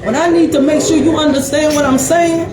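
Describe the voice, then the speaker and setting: A woman hosting a panel talk show in a strong, loud voice, speaking with high energy and emphasis. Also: steady tone, a little fast. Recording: echoey, distant mic, loud crowd chatter. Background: loud crowd chatter.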